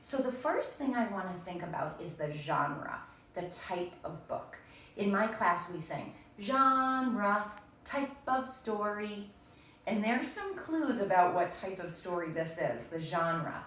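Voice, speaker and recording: A woman speaks as a primary school teacher, explaining a story to young children and talking to them directly. Her voice is on the low side and swings widely in pitch. The room echoes heavily and the mic sounds distant. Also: sing-song, a little slow. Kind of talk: read-aloud reading lesson for young children.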